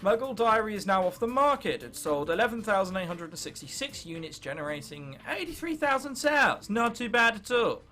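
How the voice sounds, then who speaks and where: A man's voice: high-pitched, lively intonation, fairly quick, hardly any pauses, pushed and bright, faint music behind. A young man of about nineteen with an English accent, giving casual, jokey gaming commentary and reading game text aloud. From England. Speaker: gaming video host.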